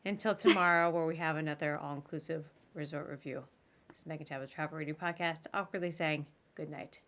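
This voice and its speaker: A woman hosting a casual live podcast interview, talking in an informal, halting and self-deprecating way. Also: low voice, fairly even intonation, a bit bright. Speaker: podcast host interviewing a guest.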